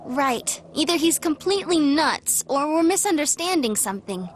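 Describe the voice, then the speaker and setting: Casting: sweet, curious game schoolgirl, very high voice, informal, faint background noise. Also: animated, normal speed. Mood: musing. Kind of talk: video game English dub acting.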